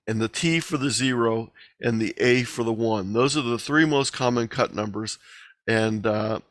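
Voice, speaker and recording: A man's voice: medium pitch, fairly bright tone, no echo. A man giving an instructional lecture in an explanatory, didactic way, stressing key points as he goes. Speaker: amateur radio instructor giving a presentation.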